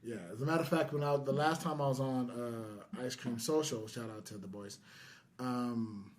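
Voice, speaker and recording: A man's voice: medium pitch, fairly even intonation, moderate pace. Casual man hosting a podcast. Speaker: podcast host.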